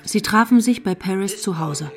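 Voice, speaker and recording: A woman narrating a radio drama from a script with a measured, literary delivery. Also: mid-range voice, animated. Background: music.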